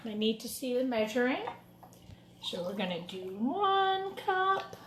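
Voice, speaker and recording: A woman with a slightly high voice leads a cooking demonstration for children. She explains each step in simple phrases and speaks to the young audience directly. The room is noticeably echoey, with clear noise and clatter of utensils behind her. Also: big pitch swings, a little slow, fairly bright tone. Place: indoors in a kitchen. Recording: roomy echo, clattering kitchen noise.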